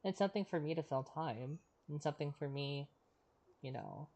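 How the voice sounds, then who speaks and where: Low-voiced woman chatting on a game stream. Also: warm tone. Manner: rambling, halting, reflective. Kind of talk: casual livestream chat. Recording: stream voice over game music.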